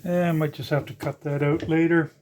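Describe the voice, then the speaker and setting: An older electronics hobbyist giving a casual, unscripted teardown narration, halting and musing aloud while working with their hands. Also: mid-range voice, little pitch movement, darkish tone, a little hiss or hum. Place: indoors at a workbench.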